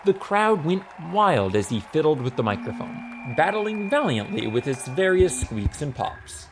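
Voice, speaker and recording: A man narrating a comic fantasy audiobook in a lively, scripted storytelling style. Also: fairly high pitch, unhurried, over music.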